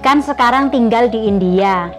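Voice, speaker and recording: A woman from East Java hosting her own vlog, who reads viewer questions aloud and answers them in a relaxed, friendly way. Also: on the high side, normal speed. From Malang, East Java, Indonesia. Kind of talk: casual Q&A vlog. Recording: music playing underneath.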